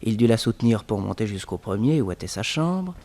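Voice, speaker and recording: A man's voice: on the low side, big pitch swings, fairly quick, mellow. A man narrating a 1960s French radio serial in a scripted storytelling style.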